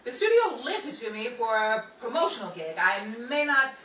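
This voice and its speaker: A woman playing a chatty, quick-witted actress in a playful 1930s radio comedy. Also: fairly high pitch, big pitch swings, a bit bright. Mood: hurried, bossy. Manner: playful, wisecracking ad-libs. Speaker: host and lead actress. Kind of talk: 1930s radio comedy acting before an audience. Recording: roomy, distant, noisy live audience recording.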